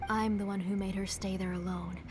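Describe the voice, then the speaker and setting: A woman voicing a middle-aged, grieving, obsessive mother in a video game, in a soft, hushed and fervent manner. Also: medium pitch, little pitch movement, brisk, background noise, close to an everyday voice.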